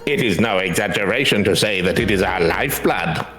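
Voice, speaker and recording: A man's voice: low-pitched, expressive, brisk, fairly bright tone. A man playing a humble, earnest rice farmer in a game, speaking politely and formally. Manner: formal, courteous phrasing. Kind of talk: scripted game dialogue read aloud. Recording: roomy echo, music behind. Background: music.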